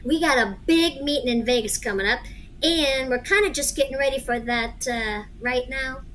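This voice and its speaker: A woman's voice: high voice, moderate pace, fairly bright tone, a little hiss or hum. A woman playing a brash, crude, cocksure gangster, the boss's grown-up child, in an amateur audio drama. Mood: businesslike.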